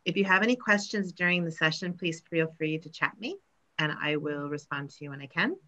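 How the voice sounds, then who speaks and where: Middle-aged woman host on a video call; low pitch, crisp bright tone, friendly, dull lo-fi sound. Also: brisk. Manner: friendly, welcoming, with fillers. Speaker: conference session host. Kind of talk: hosting a virtual conference session. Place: home room, indoors. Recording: muffled video call audio.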